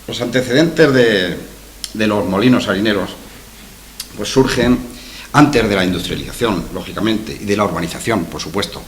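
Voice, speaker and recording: A man's voice: lowish voice, normal speed, slight background noise, poor audio. Man from La giving a talk. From La Mancha, Spain. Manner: hesitant, repeats words, tags asides with "¿verdad?". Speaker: history lecturer. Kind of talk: conference talk. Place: conference room with a projection screen.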